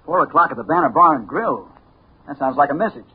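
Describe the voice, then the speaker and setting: A man's voice: fairly high pitch, animated, fast talker, husky. An American man playing a wary, determined newspaper reporter in a 1950s radio drama, brooding and clipped. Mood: wary banter. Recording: noisy, tinny 1950s radio recording.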